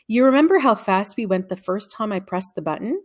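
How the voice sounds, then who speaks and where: A woman's voice: mid-range voice, animated, a little fast, close to an everyday voice. A woman voicing a confident, insistent schoolboy in a children's story, earnest and assertive. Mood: serious. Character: confident, insistent schoolboy who takes charge.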